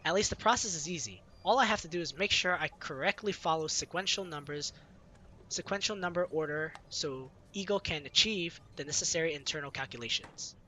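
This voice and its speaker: A man's voice: fairly high pitch, brisk, pushed and bright, a little hiss or hum. A man reading a visual novel aloud, narrating in the first person with light laughs. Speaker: let's-play reader.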